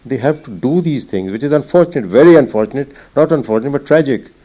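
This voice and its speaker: An older man, a retired naval officer recalling the past in an interview, reflective and halting with many fillers. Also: medium pitch, expressive, warm tone. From Punjab. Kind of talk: oral history interview.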